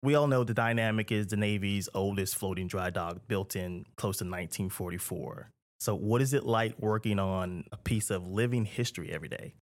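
A man's voice: fairly low pitch, moderate pace. A man interviewing a sailor, asking questions in an upbeat, encouraging way.

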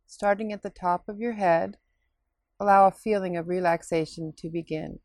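A woman leading a scripted guided relaxation meditation in a soothing, unhurried, instructing way with pauses. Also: fairly low pitch, darkish tone, dry recording.

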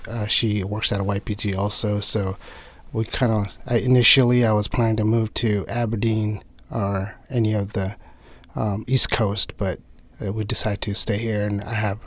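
A man, a guest in an interview who speaks haltingly, with many restarts and fillers. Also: lowish voice. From Nepal. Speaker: interview guest, government engineer. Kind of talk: conversational interview.

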